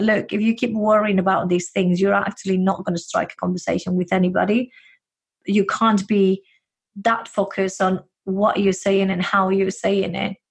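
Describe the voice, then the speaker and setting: A woman's voice: mid-range voice, husky. A woman with a Spanish accent, giving earnest, encouraging advice in a casual podcast chat, with frequent fillers. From Spain.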